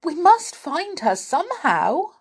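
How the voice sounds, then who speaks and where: A woman reading aloud voices a young girl who feels responsible for the others, pitched up from her narrating voice. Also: animated, normal speed, a little mellow. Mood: alarmed.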